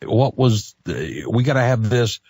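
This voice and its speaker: A man's voice: mid-range voice, sing-song, a little slow, a little mellow, breathy edge, no room sound. An American man hosting a live call-in radio show and putting questions to his guest in a conversational way. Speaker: radio talk show host.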